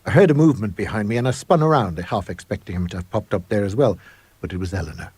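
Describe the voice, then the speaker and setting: A man with a husky voice narrating a ghost story in a measured, expressive, old-fashioned gentlemanly manner. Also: on the low side, dry recording. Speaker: reader of an audio ghost story. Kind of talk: dramatic first-person scripted narration.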